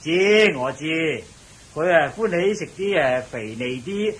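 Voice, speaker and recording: An actor playing an elderly, genial palace cook in a radio drama, chatty and deferential with hesitant interjections. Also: male voice, a little high, lively intonation, a little slow, slightly rough. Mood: deferential, eager. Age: older. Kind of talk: Cantonese radio drama acting. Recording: faintly noisy radio drama recording.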